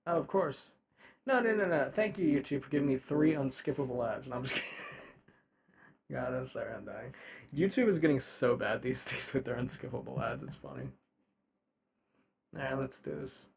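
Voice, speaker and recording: A man talks casually as he hosts a live recording session. His voice sits in a middle register with plenty of fry. He thinks aloud in an informal, conversational way. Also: mid-range voice, moderate pace, pauses often. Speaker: ASMR roleplay creator, live stream host. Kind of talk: casual behind-the-scenes live stream chat.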